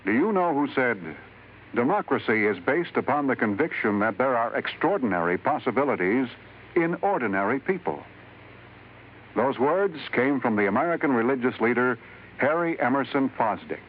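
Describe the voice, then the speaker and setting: A man reading a formal public service message as an announcer, measured, solemn and declamatory. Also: mid-range voice, lively intonation, breathy edge. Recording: narrow vintage radio broadcast, faint hiss.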